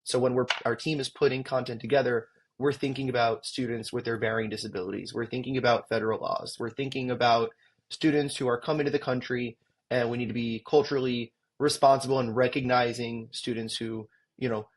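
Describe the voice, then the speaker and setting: A man with a husky voice explains his education tech company as an interview guest. He talks in an articulate, explanatory way with occasional ums. His pitch sits in the middle of the range. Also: steady tone, a little fast. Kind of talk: interview answers.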